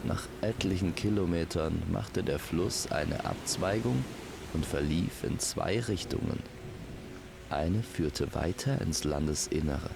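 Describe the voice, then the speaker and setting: Deep, slow, gravelly male storyteller. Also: noisy background. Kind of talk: scripted fairy-tale narration.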